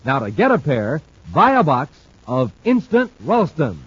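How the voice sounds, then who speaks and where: Booming 1950s radio announcer, muffled. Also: male voice, huge pitch swings, unhurried, fairly bright tone, slightly rough, dry recording. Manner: booming, salesy, emphatic. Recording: muffled old radio transcription with faint hiss.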